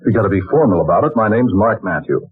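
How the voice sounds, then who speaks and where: A man's voice: on the low side, lively intonation, fast talker, slightly rough. An actor playing a generous, wisecracking young man with folksy slang in a 1940s radio drama, on a tinny old broadcast recording. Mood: easygoing. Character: breezy, kindly young man, broke but generous.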